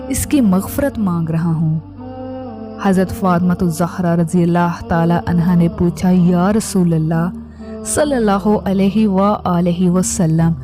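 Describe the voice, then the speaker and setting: A woman reads a scripted religious narration as a storyteller, in a measured, solemn manner. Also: on the low side. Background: music.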